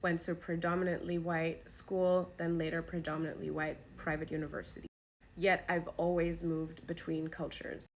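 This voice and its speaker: A woman's voice: sits low, a little hiss or hum. A woman from Germany giving an earnest opinion talk to camera as a podcast host, speaking in an emphatic, pleading way.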